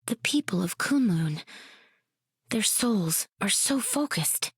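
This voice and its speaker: A soft, breathy spiritual seeker. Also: female voice, mid-range voice, expressive, slow pace, no echo, studio quality, full character voice. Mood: wondering.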